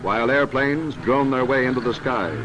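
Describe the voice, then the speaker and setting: A man's voice: mid-range voice, expressive, moderate pace, over loud music, poor audio. An American man narrating a scripted wartime newsreel in a dramatic, declamatory, patriotic manner, on a vintage 1940s soundtrack.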